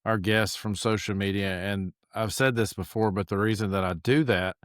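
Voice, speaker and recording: A man's voice: fairly low pitch, steady tone, moderate pace, a bit bright, clean studio sound. A man hosting a podcast, chatty and affirming, often echoing his guest's words. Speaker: podcast host. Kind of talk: casual interview talk.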